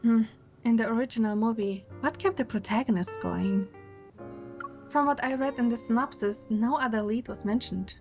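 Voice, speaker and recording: A woman's voice: fairly high pitch, moderate pace, a little mellow, faint music behind, lightly acted. A woman acting a playful, affectionate and wistful young woman in love in a romantic audio drama.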